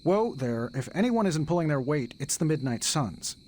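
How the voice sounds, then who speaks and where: A man's voice: fairly high pitch, big pitch swings, normal speed, darkish tone, slight background noise. A man voicing a cocky, wisecracking superhero in a game, glib, flippant and casual. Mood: wry, dismissive.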